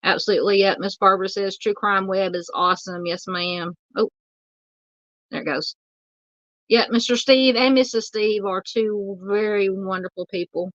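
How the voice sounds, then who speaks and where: A Southern woman hosting a late-night true crime livestream, her voice tiring and a bit hoarse. She talks in halting bursts full of "um" and "uh" while politely answering viewers. The sound is dry and slightly dull, like a basic home setup. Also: mid-range voice, steady tone, fairly bright tone, no echo. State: losing her voice. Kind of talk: casual livestream chat reading viewer comments.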